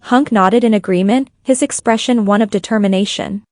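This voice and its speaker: A woman's voice: medium pitch, fairly quick, darkish tone, dry recording. A woman reading scripted fan fiction as a story narrator.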